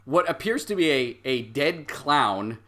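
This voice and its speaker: Bright-voiced man running a tabletop game. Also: mid-range voice, expressive, a little slow. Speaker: game master. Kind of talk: improvised tabletop game narration.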